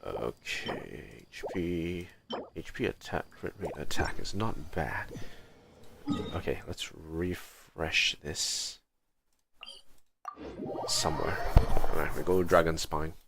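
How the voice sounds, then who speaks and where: A man's voice: extremely low pitch, slow pace, gravelly, very noisy. A man giving casual livestream commentary on a video game, muttering to himself as he plays. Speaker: livestream host. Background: video game music.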